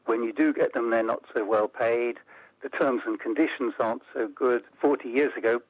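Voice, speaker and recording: An older man from the United Kingdom, a guest on a radio interview, explaining policy in a measured, step-by-step way. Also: medium pitch, no echo, muffled recording.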